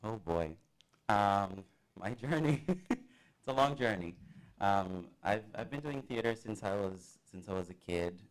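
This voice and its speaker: A young man from Brazil with a Brazilian Portuguese accent, a student guest chatting casually in an interview, halting often with fillers and small laughs. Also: on the low side, expressive, rough recording.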